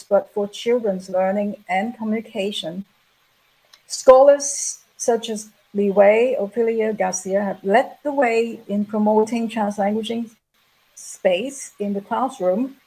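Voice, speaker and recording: A middle-aged woman giving a measured, explanatory plenary lecture at an academic conference. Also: mid-range voice, a little mellow, slightly rough, low-quality recording. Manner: measured, explanatory, reading prepared remarks. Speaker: plenary speaker.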